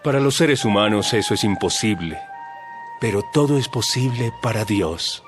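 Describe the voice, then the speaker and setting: A male actor playing a calm, authoritative religious teacher in a dramatized audio Bible, speaking in solemn, measured, emphatic phrases. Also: lowish voice, music in the background, close to an everyday voice. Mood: earnest.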